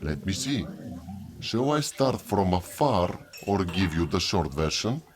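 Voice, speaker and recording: An actor playing a gossipy tavern keeper who tells stories in a folksy, unhurried way in an audio drama. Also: male voice, sits low, big pitch swings, a crowd in the background. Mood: grave storytelling. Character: gossipy male innkeeper at a moon tavern. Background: music.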